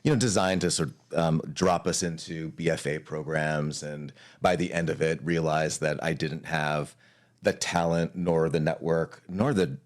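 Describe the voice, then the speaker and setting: A man's voice: rumbling low, fairly even intonation, moderate pace. A man from Hawaii, an arts leader, talking through his career in a casual interview.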